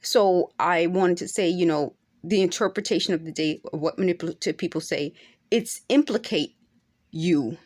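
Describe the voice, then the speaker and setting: A woman's voice: lowish voice, normal speed, a little mellow. A woman in her forties talking straight to camera in an unscripted monologue, earnest and insistent, now and then rising to emphatic peaks. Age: 40s. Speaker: vlogger.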